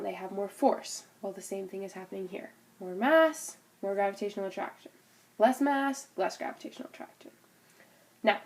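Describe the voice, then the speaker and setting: A young woman speaks with a stuffed-up nose from a cold, her voice sitting at an ordinary middle pitch. She explains a physics idea in a loose, informal way, with fillers and restarts, like a student's homework recording. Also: darkish tone. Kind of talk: informal spoken class presentation.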